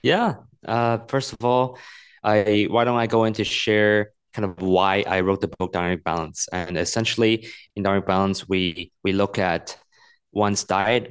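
Earnest low-voiced man from Hong Kong. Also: clear, resonant tone. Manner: earnest and explanatory, with frequent repeated words. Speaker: author and guest. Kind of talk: explanatory interview answers.

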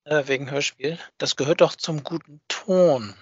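A man's voice: fairly high pitch, normal speed, slightly rough, no echo, highs cut off. A man co-hosting a podcast in casual chat, speaking informally and colloquially and often repeating himself. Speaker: podcast co-host. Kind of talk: casual podcast chat about a hobby project.

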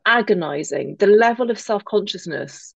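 An English woman speaking as an interview guest, reflective, articulate and measured in how she puts her thoughts together. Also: medium pitch, lively intonation, vocal fry, slight background noise. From England-born, grew up in Northern Ireland. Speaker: podcast guest, author.

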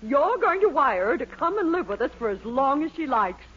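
An actress playing a bossy, scheming wife with a domineering, emphatic delivery in a 1940s radio comedy. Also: female voice, high-pitched, big pitch swings, moderate pace, a bit bright. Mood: scheming, excited. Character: bossy, scheming, money-minded wife with henpecked husband. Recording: noisy, muffled 1940s radio recording.

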